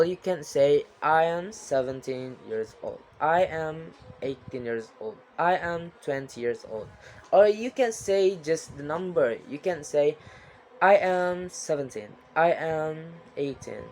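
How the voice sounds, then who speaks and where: A young man giving a spoken exam presentation as a lesson, talking haltingly with frequent restarts and non-native grammar. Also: on the high side, slight background noise.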